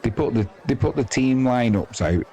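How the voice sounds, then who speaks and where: A man's voice: mid-range voice, expressive, normal speed, warm tone. A man with an English accent hosting a live sports stream, giving casual, rambling, matey cricket commentary off the cuff. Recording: crowd noise behind the voice.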